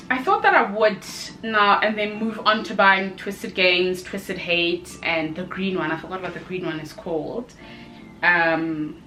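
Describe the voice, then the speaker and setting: A woman giving a casual, chatty review to camera as a video host, expressive and emphatic, with short exclamations and repeated phrases. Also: mid-range voice, low-quality recording.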